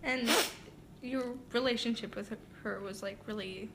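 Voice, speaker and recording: A young woman interviews an older relative. Her voice sits a little high, and her phrasing is relaxed and loose, with many "like"s. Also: a little slow, heavy background noise. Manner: casual, uses "like" often. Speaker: interviewer, family member. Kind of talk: casual interview questions.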